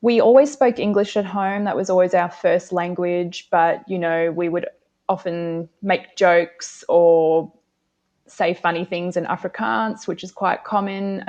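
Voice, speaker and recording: A woman with an Australian accent, originally from South Africa, speaking in a somewhat low voice. She answers interview questions casually and reflectively, often pausing with fillers. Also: a little mellow, highs cut off. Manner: reflective, many fillers and hedges. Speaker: guest.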